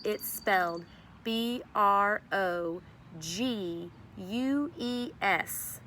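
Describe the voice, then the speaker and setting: Female teacher, mid-pitched, expressive children's read-aloud, recorded with noticeable ambient noise. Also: extremely slow. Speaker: teacher reading to a class.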